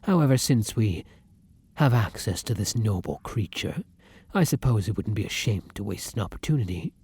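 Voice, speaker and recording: Man playing a breathy, courtly, hungry vampire. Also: fairly low pitch, lively intonation, a little mellow, no room sound, exaggerated voice. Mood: gentle, curious. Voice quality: breathy, often dropping to a whisper. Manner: formal, archaic, endearment-laden, deliberate speech with dramatic pauses. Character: kind, courtly but starving vampire lover, turning predatory. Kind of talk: ASMR roleplay addressed to the listener.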